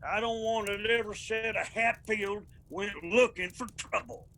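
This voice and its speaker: An actor playing a proud, principled and vengeful mountain clan leader in a radio drama, with an Appalachian accent. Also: male voice, high voice, fairly even intonation, unhurried, pushed and bright, slight background noise, exaggerated voice. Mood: firm resolve.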